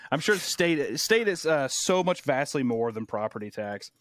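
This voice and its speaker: Animated, profane American man. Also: normal speed, no echo. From United States. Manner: animated storyteller, swears often, acts out quotes in a high voice. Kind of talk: casual profane chat.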